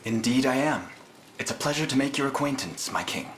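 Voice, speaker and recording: An actor playing a noble, resolute young prince and army leader in a fantasy game, speaking in a courtly, earnest, formal way. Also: male voice, medium pitch, lively intonation, a little fast, slight background noise, natural voice. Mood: courteous. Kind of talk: dramatic fantasy game voice acting. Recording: loud orchestral music behind.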